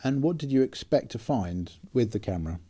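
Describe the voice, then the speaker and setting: A man's voice: mid-range voice, lively intonation, brisk, dark tone, slightly rough. A man interviewing filmmakers, asking brief, direct questions.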